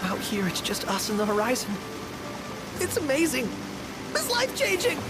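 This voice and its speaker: An actor playing an excitable, chatty young man in a video game, eager and informal. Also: very high voice, normal speed, exaggerated voice, rough recording. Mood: awed, excited. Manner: eager, informal, wide-eyed. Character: boyish, excitable, chatty young travelling companion. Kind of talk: video game cutscene acting. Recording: voice over loud game music.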